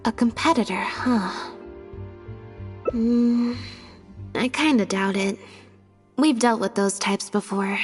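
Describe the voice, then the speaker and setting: A woman voicing a cool, analytical young woman thinking through a mystery in a video game, measured and musing. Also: mid-range voice, big-room sound, lightly acted.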